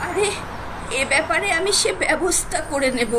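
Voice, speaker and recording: A woman's voice: very high-pitched, animated, moderate pace, noisy background. A woman voicing a kindly, folksy elderly village great-aunt in a cartoon, affectionate and reassuring. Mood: reassuring, fond. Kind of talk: Bengali moral-story cartoon acting. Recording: music behind the voice.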